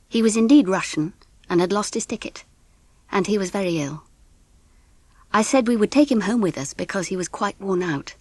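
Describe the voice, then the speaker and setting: Tender English mother, narrator in audio drama. Measured, husky voice, lively intonation. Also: mid-range voice, no echo. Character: gentle, weary English mother recounting family events. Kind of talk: scripted first-person narration and audiobook drama.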